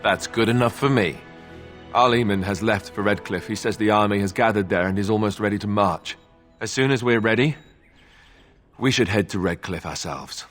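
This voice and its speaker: A young fantasy-game warrior who is reluctant heir to a crown. His voice is bright and forward over music, with an earnest, wry and somewhat hesitant conversational delivery. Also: fairly low pitch, moderate pace. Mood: matter-of-fact. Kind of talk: video game voice acting.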